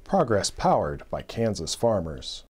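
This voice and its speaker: A man reading a scripted commercial in a slow, deliberate way. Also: lowish voice, big pitch swings, music in the background. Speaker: ad voice-over.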